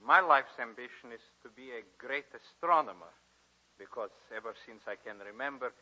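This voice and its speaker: A man's voice: mid-range voice, lively intonation, normal speed, bright, forceful tone, low-quality recording. An actor playing a polite, dreamy young male student with a formal, earnest manner, in a 1940s radio drama. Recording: vintage 1940s radio recording.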